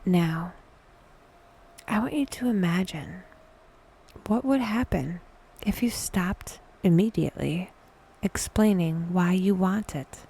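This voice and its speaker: Slow, whispery woman guiding meditation. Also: fairly low pitch. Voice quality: soft, breathy whisper. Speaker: guided meditation host. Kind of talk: whispered, soothing guided meditation.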